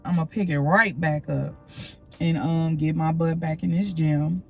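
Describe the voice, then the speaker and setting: A woman's voice: low voice, steady tone, moderate pace, soft background music. A young woman with a slightly nasal voice, rambling casually to her vlog viewers and calling them y'all. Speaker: vlogger. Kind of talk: casual vlog chat to camera.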